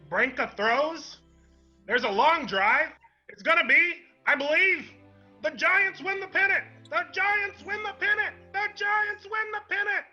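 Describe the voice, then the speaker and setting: A man acting an excitable 1950s baseball radio announcer calling a game, with a strained, husky voice. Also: very high voice, lo-fi sound, exaggerated voice. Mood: ecstatic. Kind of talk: play-by-play sports commentary.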